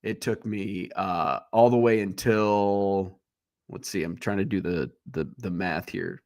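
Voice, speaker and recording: A man's voice: low-pitched, fairly even intonation, unhurried, darkish tone. A man hosting a casual livestream, a little congested, talking bluntly with lots of fillers and restarts. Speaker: livestream host. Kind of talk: casual rambling chat.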